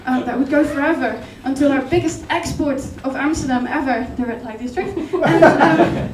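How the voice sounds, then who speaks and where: High-voiced Dutch woman doing stand-up. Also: moderate pace, reverberant, far from the mic, very noisy. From Netherlands. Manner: rambling, hesitant, deadpan absurd. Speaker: stand-up comedian. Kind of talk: stand-up comedy set. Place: comedy club stage. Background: audience laughter.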